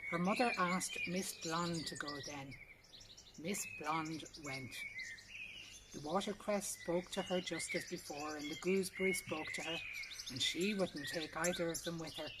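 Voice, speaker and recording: A woman's voice: lowish voice, measured pace. A woman with an Irish accent reading a folk tale aloud as a storyteller. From Ireland. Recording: clear background noise with birdsong.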